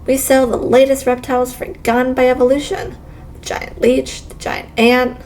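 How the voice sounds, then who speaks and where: A brisk commercial announcer from a visual novel voiced by a woman delivers a scripted sales pitch. The voice sits fairly high. There is slight noise in the background. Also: little pitch movement, darkish tone, lightly acted. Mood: salesy.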